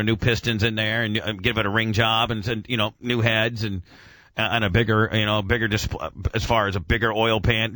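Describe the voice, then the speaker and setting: A man with a forceful, bright voice chats casually as a radio host. He rambles with a gearhead's enthusiasm, piling up jargon and the odd mild curse. The sound is very dry and close, like a studio microphone. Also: mid-range voice, no echo. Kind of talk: casual motor-head radio chat.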